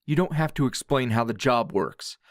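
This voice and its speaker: A man playing a grouchy, wounded anime hero in a dramatic ASMR roleplay, sardonic and halting in manner. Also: medium pitch, lively intonation, no echo, studio quality, close to an everyday voice. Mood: bitter, sarcastic. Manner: sardonic, halting, emotionally raw.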